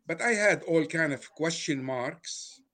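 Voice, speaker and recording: A man's voice: a little high, animated, a little slow, pushed and bright. An older man from Lebanon whose first language is Arabic, speaking English with an Arabic accent, telling a personal story with many fillers and restarts. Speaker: guest.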